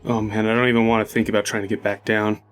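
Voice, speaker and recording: A man's voice: fairly low pitch, level tone, fast, clean tone, faint music behind, natural voice. A man acting out a panicked adventurer who is terrified of heights, breathless, stammering and repeating himself.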